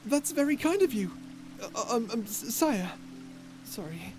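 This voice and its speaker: A voice actor plays a shy, curious octopus from an ASMR story roleplay. The speech is slow, hesitant and stammering, with a gentle, timid temper. Music plays clearly behind it, along with trickling water. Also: mid-range voice, big pitch swings. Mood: shy, thoughtful.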